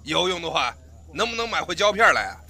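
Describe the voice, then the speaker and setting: Audio drama role: strict, scolding male director, highish forceful voice, impatient, acted by a man. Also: very animated, quick pace, slight background noise, heavily acted. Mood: exasperated.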